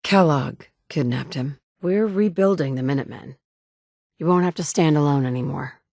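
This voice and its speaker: Determined, lowish-voiced young mother. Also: moderate pace, creaky, a little mellow, dry recording, studio quality. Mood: concerned, friendly. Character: determined young mother, wasteland survivor searching for her son. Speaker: video game player character. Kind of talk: video game voice acting, natural dialogue.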